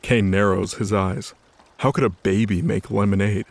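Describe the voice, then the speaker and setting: A man's voice: lowish voice, moderate pace, gravelly. A man narrating a scripted story in a conversational, wry way.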